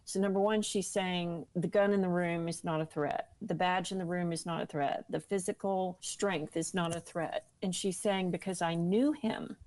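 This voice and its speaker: A woman's voice: fairly low pitch, steady tone, moderate pace, few pauses, a little mellow. A woman speaking earnestly and seriously as an interview guest, giving personal testimony.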